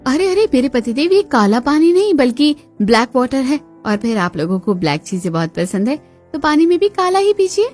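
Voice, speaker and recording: High-pitched sarcastic cartoon daughter-in-law played by a woman. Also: very animated, a little fast, a little mellow, faint music behind. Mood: mock-sweet. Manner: mock-sweet, dramatic.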